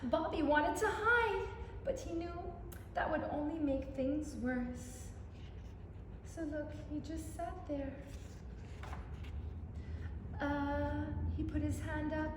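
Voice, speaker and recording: A woman storyteller reading a picture book aloud to young children, animated and inviting listeners in with questions, in an echoey room. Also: sits high, takes it slowly, long pauses. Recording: echoey reverberant room, faint background noise.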